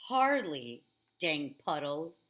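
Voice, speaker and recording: Woman putting on a slow, grumbling old man. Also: low-pitched, big pitch swings, a bit bright, exaggerated voice, low-quality recording. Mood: irritated. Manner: grumbling, drawn-out, emphatic. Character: grumpy old man grumbling about the rain.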